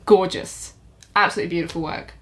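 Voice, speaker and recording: Young woman cohost, kinda low voice, gushing, lots of ums and uhs. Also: fairly even intonation, fairly quick. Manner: effusive, hesitant with many ums. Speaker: podcast co-host. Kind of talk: casual review chat.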